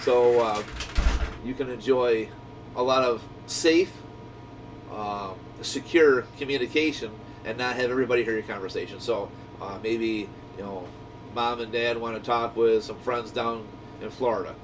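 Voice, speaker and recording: A man giving a casual, rambling product review in a conversational, enthusiastic way, like a shop owner talking to viewers. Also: normal speed. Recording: distant mic, noisy low rumbling hum.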